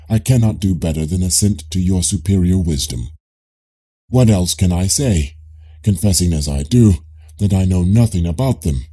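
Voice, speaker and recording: A man voicing a probing, politely ironic Greek philosopher in an audiobook dialogue, questioning in a measured way with a husky, dark voice. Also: low-pitched.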